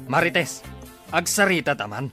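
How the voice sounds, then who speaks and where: Slow, swooping tipsy villager. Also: female voice, sits low, animated, music in the background. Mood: tipsy teasing. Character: tipsy, mocking villager who drinks and teases. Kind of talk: Ilocano radio comedy drama acting.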